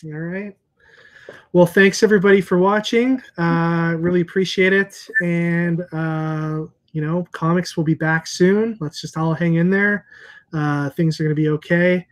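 A man in his forties hosting a casual video call chat, relaxed and chatty with many fillers, talking through earphones in a room lined with comic books. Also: a little high, a little slow. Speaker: comic shop owner and host. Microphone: earphone headset on video call.

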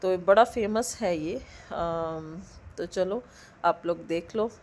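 A woman in her thirties with a hoarse throat from a cold, chatting loosely in a casual vlog voice-over from home and mixing in English words. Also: on the low side, slow, a little mellow, a little hiss or hum. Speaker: vlogger. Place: indoors at home, recording the voice-over. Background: a dog barking now and then.

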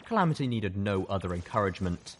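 A man's voice: on the low side, sing-song, fast, slight background noise. A man reading a scripted adventure story aloud as an audiobook narrator.

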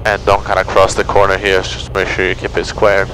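A man's voice: deep voice, monotone, a little fast. A man working as a flight instructor, giving short coaching instructions over a headset in a small airplane cockpit. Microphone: aviation headset mic. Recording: loud aircraft engine noise.

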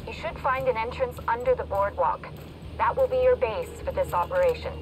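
A woman's voice: a little high, normal speed, noisy background, lightly acted. An actress playing a focused, businesslike female mission handler giving an agent instructions in a video game. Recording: loud game ambience behind the voice.

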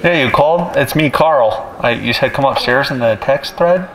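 A man in his twenties speaking through a megaphone in an awkward, deadpan prank style. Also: medium pitch, normal speed, rough recording. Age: 20s. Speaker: prankster and video host. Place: campus lawns and lounges, some distance from camera. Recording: megaphone voice amid background chatter.

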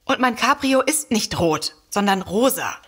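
A haughty hostess who loves literature, in a comic radio play. Her voice sits slightly high and is clearly dramatised. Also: lively intonation, unhurried, fairly bright tone, exaggerated voice. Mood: indignant. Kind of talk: comedic audio drama acting.

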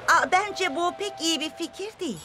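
A woman voicing an earnest, doubtful fire engine in a dubbed children's cartoon, gently cautioning. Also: very high voice, moderate pace.

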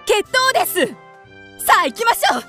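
Woman acting a very high-voiced, haughty widow. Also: very animated, moderate pace, faint music behind, full character voice. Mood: indignant. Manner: haughty, sharp-tongued, formal feminine speech. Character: proud, fiery young widow, haughty and fickle. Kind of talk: theatrical radio drama acting.

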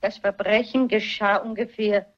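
An actress playing a sharp-witted old English spinster sleuth, brisk, insistent and precise, in a vintage film dub. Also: female voice, mid-range voice, steady tone, close to an everyday voice. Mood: brisk, determined. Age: older. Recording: dull vintage film soundtrack.

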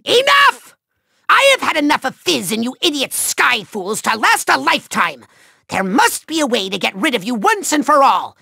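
Tiny evil overlord from a cartoony game, super high raspy forceful voice, hammy and gloating, bone dry studio sound. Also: male voice, no echo, full character voice. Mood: scheming, exasperated. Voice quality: shrill, gravelly, nasal. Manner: bombastic, gloating, sneering delivery with drawn-out words. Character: small megalomaniac male cartoon villain, petulant and theatrical. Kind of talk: hammy video game villain acting.

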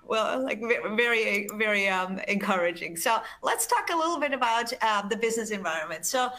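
Bright Austrian-accented woman presenting. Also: mid-range voice, expressive, brisk. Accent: Austrian German. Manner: enthusiastic, repeats words and restarts. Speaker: webinar presenter, research executive. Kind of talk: presenting slides in a webinar. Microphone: video call.

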